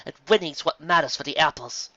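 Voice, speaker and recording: A man's voice: on the high side, a little fast, sharp tone, no echo, lo-fi sound, pitched above the performer's own voice. A man voicing an earnest, determined young farmer mare in a story, with a pleading, insistent manner. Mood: explaining.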